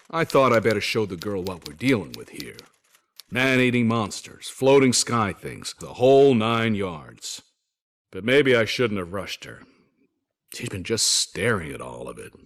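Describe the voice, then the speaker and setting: Low-voiced folksy American WWII soldier. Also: male voice, fairly bright tone, heavily acted. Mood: exasperated, reassuring. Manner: folksy, wisecracking, old-fashioned slang. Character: stranded 1940s American soldier writing home, folksy and wry. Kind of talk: scripted first-person letter narration, in character.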